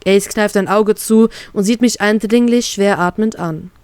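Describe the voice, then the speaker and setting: A woman reading her own fan fiction aloud in an expressive, emotional way. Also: mid-range voice, measured pace, clear, resonant tone, no echo. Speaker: fan fiction author and reader.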